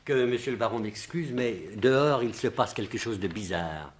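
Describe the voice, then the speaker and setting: An actor playing a formal, respectful household servant in a 1950s-style French radio mystery. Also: male voice, mid-range voice, expressive, normal speed, a little hiss or hum, lightly acted. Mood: respectful, concerned. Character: deferential male household servant of a baron.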